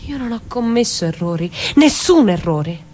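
A woman's voice: fairly high pitch, animated, moderate pace, a little mellow. A woman playing a defiant, wounded mother in a dramatic radio play, speaking in terse, emphatic lines. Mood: defiant, wistful. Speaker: actor in a dramatic reading.

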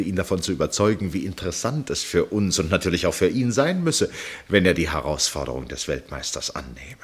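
Husky, courteous gentleman narrator. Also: deep voice. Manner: polite, persuasive, articulate. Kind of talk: radio drama narration and dialogue.

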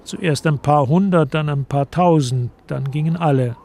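A man narrating a literary radio play from a script in a measured, solemn manner. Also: fairly high pitch, a little mellow.

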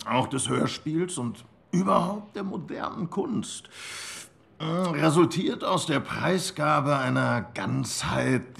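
An actor playing an earnest intellectual, lecturing in a measured, pedantic manner in a radio play. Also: male voice, a little high, vocal fry, fairly bright tone, exaggerated voice.

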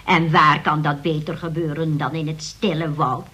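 An actress playing a prim, nosy, churchgoing neighbour in a Dutch radio play, unctuous and full of pious insinuation. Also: female voice, low voice, steady tone, normal speed, a bit bright.

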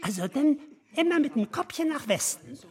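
An actress playing a saucy, amused party guest who exclaims in mock naivety, in radio play dialogue. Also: female voice, sits high, very animated, moderate pace, darkish tone. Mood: amused, teasing.

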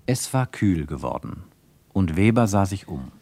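A man acting as the grave, reflective narrator of a literary radio play, with deliberate dramatic phrasing. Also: low voice, sing-song, dark tone, no room sound, heavily acted. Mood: grave, suspenseful.

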